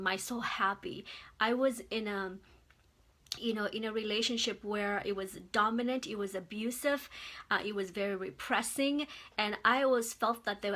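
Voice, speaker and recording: A woman's voice: medium pitch, sharp tone. A woman from Mozambique giving a heartfelt motivational talk as a guest speaker on a livestream, earnest and repeating phrases for emphasis. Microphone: phone or webcam livestream.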